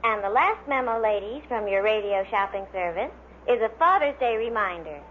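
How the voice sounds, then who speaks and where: A woman's voice: medium pitch, expressive, normal speed. A woman working as a radio announcer, reading scripted items in a vintage broadcast style. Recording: dull old radio recording with hiss.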